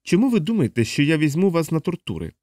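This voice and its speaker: A resolute male scientist, as a male narrator acts him out, speaking well above an everyday male pitch in a dry, close sound. He is commanding and deliberate, lecturing with cool insistence as in an audiobook adventure. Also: big pitch swings, darkish tone, dry recording. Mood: firm, questioning. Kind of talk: audiobook character dialogue.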